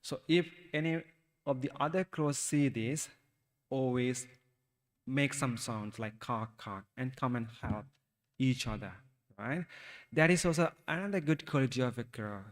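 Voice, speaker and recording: Slow Sri Lankan monk in echoing hall. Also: male voice, mid-range voice. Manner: slow, halting, asks the listeners questions. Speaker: Buddhist monk teaching youth. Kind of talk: Dhamma talk for children.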